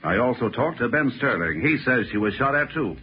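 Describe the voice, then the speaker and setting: A man's voice: medium pitch, expressive, fairly quick, natural voice. Stern, suspicious American mayor played by a man, old-radio sound. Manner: curt, authoritative, accusing. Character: stern, suspicious small-town mayor interrogating a suspect. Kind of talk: 1940s American radio drama acting. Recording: dull, noisy old radio recording.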